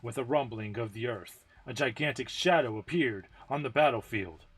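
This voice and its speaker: A man with a medium-pitched voice reads game story text as narration. It is an amateur dramatic reading, informal and a little self-deprecating. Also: normal speed, fairly bright tone, slightly rough, faint music behind.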